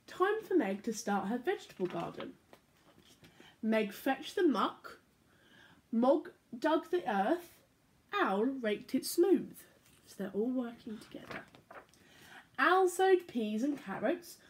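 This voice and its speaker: Young woman, fairly high voice, storyteller for kids, animated read-aloud at home on a laptop camera. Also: slow pace, long pauses, a little hiss or hum. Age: young adult. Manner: animated, playful read-aloud with sound effects. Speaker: church children's storyteller. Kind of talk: reading picture books aloud to children. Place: living room at home. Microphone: laptop or phone camera at arm's length.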